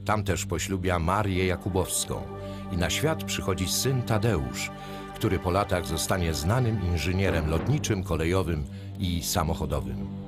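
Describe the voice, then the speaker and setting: A very deep male voice reads documentary narration in an even, measured way. Loud orchestral-style music plays behind him throughout the voice-over. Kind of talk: scripted narration.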